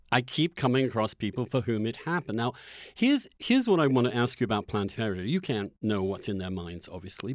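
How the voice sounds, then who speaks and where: Guy hosting a podcast interview, dry close sound, mid pitch, earnest, keeps stopping and rewording stuff. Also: dry recording. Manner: earnest, halting, circles back to rephrase questions. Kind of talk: conversational interview.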